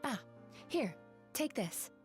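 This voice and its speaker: A woman's voice: fairly high pitch, very animated, slow, music in the background. A woman voicing a polite, breezy young female spirit in a video game, inviting and courteous. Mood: friendly, inviting.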